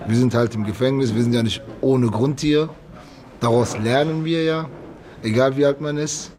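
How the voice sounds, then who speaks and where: A man's voice: mid-range voice, moderate pace, darkish tone. A man in his mid-thirties speaking casually as an interviewee, in simple colloquial phrasing with articles often dropped. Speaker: prison inmate being interviewed. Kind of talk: casual spoken interview account.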